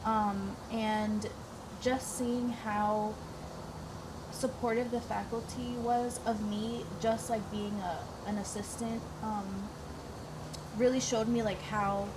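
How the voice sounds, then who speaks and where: A young woman from the northeastern United States speaking hesitantly, with many pauses on "um", as a veterinary student. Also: fairly high pitch, little pitch movement. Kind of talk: casual group interview. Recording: distant mic with clear background noise.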